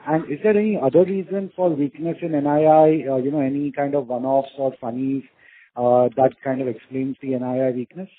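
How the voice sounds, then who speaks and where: A man asking questions as an analyst on an earnings call, pausing often mid-question. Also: medium pitch, fairly even intonation, dry recording. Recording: narrow muffled phone line.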